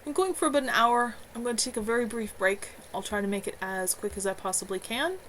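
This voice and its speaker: A woman's voice: fairly high pitch, fast talker, fairly bright tone, slight background noise, low-quality recording. A woman author reading her own novel aloud live from home, earnest, with a few small stumbles.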